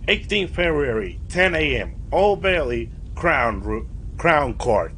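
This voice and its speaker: A man livestreaming a video game in a casual, halting way, his voice raspy and a little stuffed up from recent voice trouble. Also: on the high side, animated, a bit bright, a little hiss or hum. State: recovering from a stuffy nose and voice trouble. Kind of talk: casual livestream let's play, reading game dialogue aloud.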